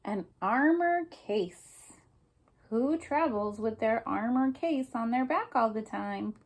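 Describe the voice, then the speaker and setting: A woman's voice: on the high side, animated, takes it slowly. A woman teacher reading a picture book aloud to young children, explaining simply and prompting them with questions.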